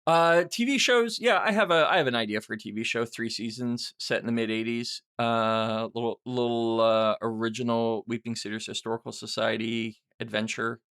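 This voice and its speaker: A man's voice: mid-range voice, normal speed, few pauses, a bit bright, clean tone. A middle-aged man hosting a casual, unscripted question-and-answer podcast, rambling and self-deprecating as he thinks aloud. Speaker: podcast host and writer answering listener questions.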